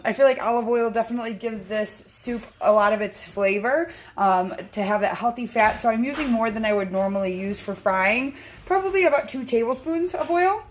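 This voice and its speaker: A woman's voice: a little high, a little hiss or hum. A woman hosting a casual home cooking tutorial from her kitchen, rambling and chatty with lots of ums, filmed on a handheld phone. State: feels her voice sounds off. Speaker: home cooking channel host.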